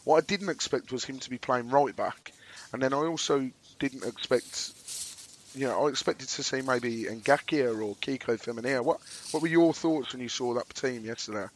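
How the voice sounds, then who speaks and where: A hoarse man co-hosts a football podcast in a laid-back, self-deprecating way. His voice sits at an ordinary middle pitch. There is a faint hiss behind him. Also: normal speed, rough recording. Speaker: podcast co-host. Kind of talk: casual football chat.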